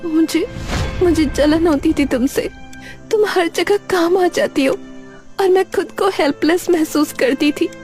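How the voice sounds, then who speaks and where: A woman voicing a proud elder sister-in-law who has softened into remorse, in a cartoon family story. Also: very high-pitched, measured pace, soft background music, pitched above the performer's own voice. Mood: tearful confession.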